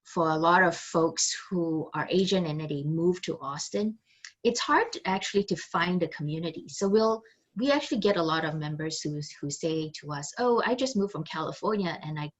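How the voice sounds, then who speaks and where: A woman's voice: deep voice, normal speed. A woman giving an orientation talk on a video call, conversational with many fillers, her phrases trailing off. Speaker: chamber staff presenter.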